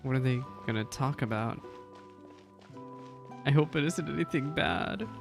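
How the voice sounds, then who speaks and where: Streamer chatting along to a game, very creaky midrange voice, music in the background. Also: mid-range voice, measured pace. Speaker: streamer reacting to a video game. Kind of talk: casual playthrough reaction commentary.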